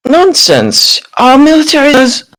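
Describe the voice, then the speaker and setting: A man's voice: very high voice, huge pitch swings, unhurried, a bit bright, voice pushed up, exaggerated voice. A man voicing a dismissive, self-satisfied baron in a party game, blustering and smug.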